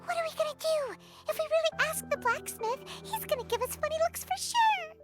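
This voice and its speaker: A woman voicing a tiny, excitable and cheeky childlike fairy companion in an anime video game, with a squeaky voice. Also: brisk. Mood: worried. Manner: refers to self in third person, exclamatory. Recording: game music behind the voice.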